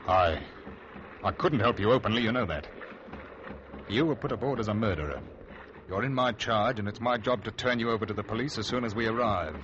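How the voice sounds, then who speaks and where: A man plays a stern sea captain with a low voice, wary and measured in his speech. It is classic radio drama acting. The recording is dull and muffled, with clear noise throughout. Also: natural voice. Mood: suspicious, torn. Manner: suspicious, measured, halting. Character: wary, stern male ship's captain, grudgingly bargaining. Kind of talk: old-time radio drama acting and first-person narration.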